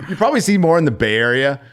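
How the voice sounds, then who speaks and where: A middle-aged man from California telling a story as a podcast guest, animated and profane, laughing as he goes. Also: a little high. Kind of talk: casual storytelling.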